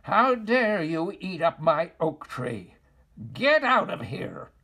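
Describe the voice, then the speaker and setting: A man voicing a crafty, scolding old witch in a storybook, with theatrical drawn-out exclamations. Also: high voice, big pitch swings, pushed and bright, husky, heavily acted. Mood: outraged.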